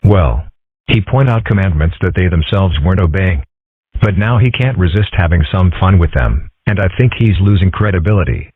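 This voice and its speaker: A man's voice: deep voice, expressive, moderate pace, breathy edge, dry recording. A man voicing a sardonic, unimpressed animal character in a comic dub, dry and curt. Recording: muffled, phone-like narrow sound.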